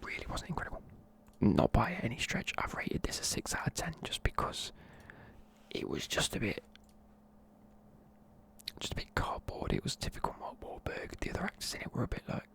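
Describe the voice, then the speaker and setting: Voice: medium pitch, big pitch swings, moderate pace, a bit bright, husky. A tired, sleepy speaker, whispering a rambling film review close to the mic in the style of an ASMR video. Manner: rambling, loose, lots of fillers. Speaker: YouTuber reviewing films.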